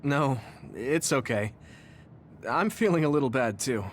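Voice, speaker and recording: An actor playing an earnest, soft-hearted young soldier hero in a video game, with a gentle, hesitant manner. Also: male voice, medium pitch, animated, measured pace, slight background noise, natural voice. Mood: apologetic, subdued. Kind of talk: video game cutscene voice acting.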